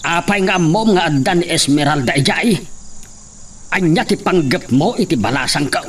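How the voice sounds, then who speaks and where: A man's voice: fairly high pitch, lively intonation, normal speed, fairly bright tone, slight background noise. An actor playing a stern father who questions a young man suspiciously, in a radio drama. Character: stern older father confronting a youth.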